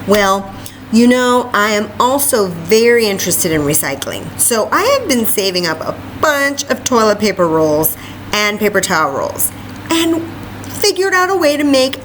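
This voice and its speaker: A woman hosting a children's library story time, reading a picture book aloud with animated, exclamatory asides straight to her young listeners. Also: mid-range voice, normal speed, slight background noise, low-quality recording.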